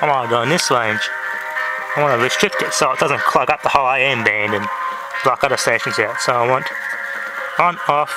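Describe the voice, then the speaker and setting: A man giving a casual hands-on electronics demonstration, talking haltingly as he thinks aloud. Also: medium pitch, over loud music. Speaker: hobbyist presenter. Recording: noisy amateur handheld camera audio with clicks.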